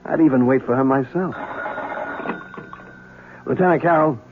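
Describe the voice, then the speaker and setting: An actor playing a cynical, wisecracking police lieutenant in a 1970s radio drama, husky, on a tinny recording. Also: male voice, mid-range voice, normal speed, background noise, close to an everyday voice. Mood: wry. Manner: sardonic, teasing.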